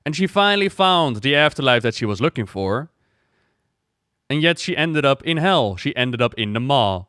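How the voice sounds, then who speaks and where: A man hosting a gaming reaction video, talking casually and retelling things in an animated way with repeats for emphasis. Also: medium pitch, moderate pace, smooth, no echo, studio quality.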